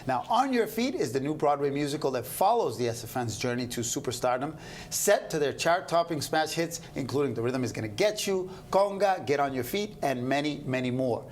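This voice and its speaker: A man's voice: medium pitch, lively intonation, fairly quick, slight background noise. A man hosting a TV interview, opening with a voice-over introduction and then chatting in a playful, upbeat way. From Cuban American. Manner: upbeat, playful, laughing along. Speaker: host.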